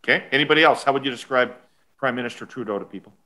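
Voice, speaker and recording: A man's voice: medium pitch, rapid-fire, sharp tone. A man moderating a focus group, upbeat as he asks the participants questions. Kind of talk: moderating a discussion.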